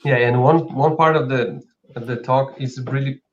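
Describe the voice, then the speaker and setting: A man's voice: medium pitch, moderate pace, dull audio. A man from Brazil with a Brazilian accent, speaking as a company founder co-hosting an informal live product talk.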